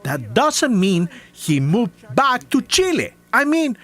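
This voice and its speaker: A man's voice: very high voice, huge pitch swings, slow pace, a bit bright. A man with a heavy foreign accent reading a scripted rebuttal, listing claims one by one with scorn. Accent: non-native English. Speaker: commentary video maker.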